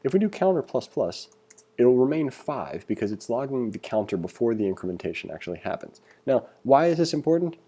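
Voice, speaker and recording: A man's voice: medium pitch, very animated, fairly quick, dark tone, breathy edge. A man giving a casual screencast tutorial, reading along and thinking aloud in an informal, conversational way as an instructor.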